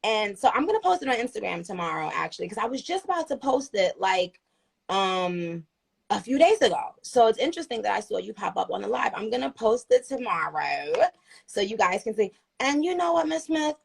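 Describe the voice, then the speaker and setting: A woman's voice: mid-range voice, very animated, a little fast, creaky, breathy edge. A woman in her thirties from Trinidad, chatting earnestly and gratefully on a phone livestream, rambling with restarts. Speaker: livestream host. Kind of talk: casual chat on a livestream.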